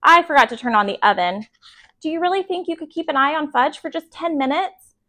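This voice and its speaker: Storybook mom acted by a woman, fond and frazzled, high voice, coaxing her children. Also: a little fast, sharp tone. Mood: hesitant coaxing. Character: fond, harried mother of two young boys.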